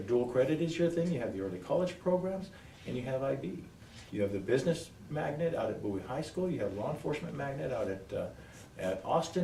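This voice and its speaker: A man applying for a school board seat answers at a public forum, pausing and repeating phrases for emphasis. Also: medium pitch, dark tone. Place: indoor public forum room. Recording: roomy echo, distant mic, faint noise.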